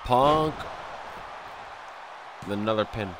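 A man's voice: fairly low pitch, extremely slow, noisy background. Stuffy-nosed young man calling a wrestling game. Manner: excitable, bursts of loud exclamations. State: stuffy nose. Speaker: gameplay commentator. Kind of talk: excited play-by-play over wrestling game.

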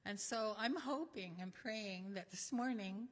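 Older woman minister, earnest introductions, mid-pitch, echoey sanctuary. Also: unhurried. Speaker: minister leading the service. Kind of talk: introducing speakers in a service. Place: church sanctuary at a lectern. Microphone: lectern microphone. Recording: echoey church hall.

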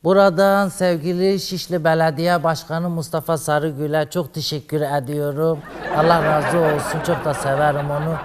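A man's voice: fairly high pitch, in a large hall, background noise, lightly acted. A man playing a flamboyant female TV fairy hostess, teasing and brassy, in a comedy sketch. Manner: teasing, flamboyant, calls women "sister". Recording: studio audience behind.

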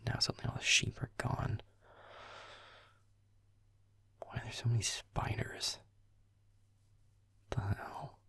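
A man playing a grumpy young werewolf boyfriend in a roleplay audio, muttering deadpan, sarcastic, sweary video game commentary in a breathy, gravelly half-whisper. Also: medium pitch, moderate pace, dry recording, heavily acted. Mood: sarcastic irritation. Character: grumpy young male werewolf boyfriend, gamer, sulky and aloof.

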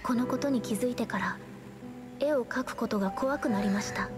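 A woman voicing an earnest, gentle young female painter in an anime game, speaking politely and solemnly. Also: a little high, music in the background, natural voice. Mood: sorrowful, reflective. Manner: polite, solemn, measured speech.

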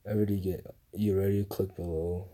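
A young man reading guessing-game questions aloud and answering them in a low-key, mumbled way, recorded on a phone. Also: little pitch movement, fairly quick, warm tone. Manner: mumbled, low-key answers, livelier when starting a new round. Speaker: gamer playing a guessing game. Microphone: phone screen recorder.

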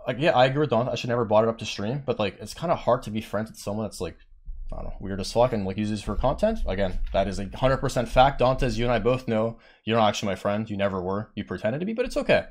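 A man's voice: on the low side, fast talker. A man streamer ranting to his chat in casual talk, swearing often and acting out what others said to him. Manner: heated, petty, swearing, quotes others in raised voice; says he has a monotone voice.

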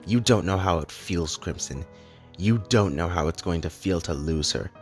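A man playing a grave, lovelorn immortal in an animated drama, speaking earnestly in measured, formal, poetic phrases. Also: sits low, close to an everyday voice. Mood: anguished, pleading. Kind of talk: dramatic animated-film voice acting. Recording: echoey hall reverb, music underneath.

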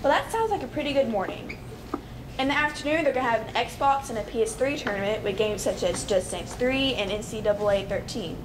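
A woman's voice: a little high, brisk, background noise. A young woman reading fair event times in a conversational scripted skit.

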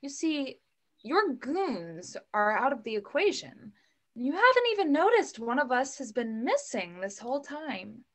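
A woman's voice: a little high, fairly bright tone. Young woman acting a fierce protective mother. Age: young adult. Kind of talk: play reading over video call. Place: room at home. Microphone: computer mic on video call.

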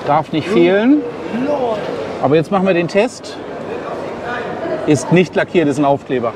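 A man's voice: on the high side, expressive, unhurried. A man from Germany chatting casually to his viewers as he walks around a busy outdoor racetrack event, often ending his sentences with a questioning "ne?". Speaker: vlogger. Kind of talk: casual walk-and-talk vlog. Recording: outdoor noise and crowd.

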